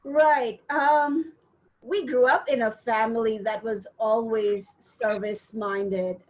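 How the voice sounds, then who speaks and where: A middle-aged woman from India, a professor, talking on a video call about her community work in a measured, steady way. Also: on the high side, poor audio. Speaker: interview guest, professor. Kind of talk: casual video-call interview.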